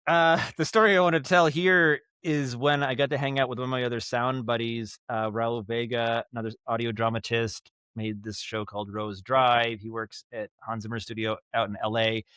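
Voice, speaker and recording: Man, mid-range pitch, casual interview guest, animated storyteller, halting phrasing, dry recording. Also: clear, resonant tone, no echo. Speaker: guest, audio drama writer and director.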